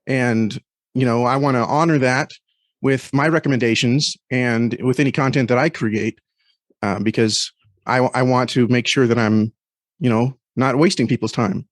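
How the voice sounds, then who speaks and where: A man speaking as a guest critic in a thoughtful podcast conversation, measured and often repeating words as he chooses them. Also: mid-range voice, fairly even intonation, dry recording.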